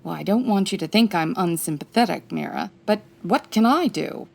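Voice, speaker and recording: Woman acting a lively, wry 1940s lady detective. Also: medium pitch, normal speed, vocal fry. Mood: dry. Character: brisk, wry, no-nonsense 1940s female detective. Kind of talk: comedic old-time radio detective acting.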